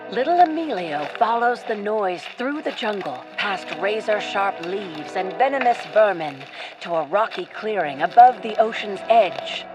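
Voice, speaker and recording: A woman narrator tells an adventure story in radio play style. Her medium-pitched delivery is theatrical and suspenseful, carefully scripted. Prominent music plays behind her. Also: expressive, a little slow, poor audio. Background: loud music.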